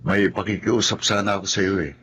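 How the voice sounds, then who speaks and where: A man playing a kind older brother with a very deep, soft-spoken voice and faint noise behind him. He is patient and gentle in a television drama. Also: steady tone, fairly quick, close to an everyday voice.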